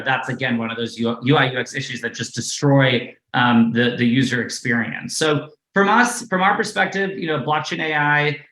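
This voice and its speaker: A man's voice: mid-range voice, roomy sound, rough recording. A man being interviewed as a guest investor, talking casually with many fillers and tech jargon. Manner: filler-heavy, relaxed, jargon-laden.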